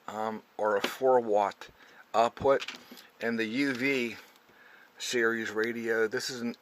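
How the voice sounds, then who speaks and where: A man presents a how-to in a casual, off-the-cuff way. His voice sits at an average pitch. He explains step by step, halting as he goes and frequently pausing to check that the listener follows. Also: fairly bright tone. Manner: halting, often checking with "okay". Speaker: radio tutorial presenter.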